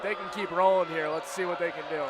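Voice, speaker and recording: A man's voice: high voice, fairly even intonation, quick pace. A man giving enthusiastic, informal color commentary on a live basketball radio broadcast, projecting over the noise. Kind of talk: conversational sports analysis. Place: courtside in a basketball arena. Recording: loud background noise of a gym. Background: arena noise, bouncing ball.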